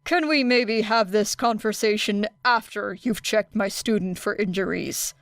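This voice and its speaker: A woman voicing a stern, tired male teacher in an audiobook, with a terse, even, deadpan manner. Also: mid-range voice, a little slow, dry recording, studio quality. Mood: dry, weary. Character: weary, stern adult male teacher, dryly grumbling. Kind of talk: audiobook character acting.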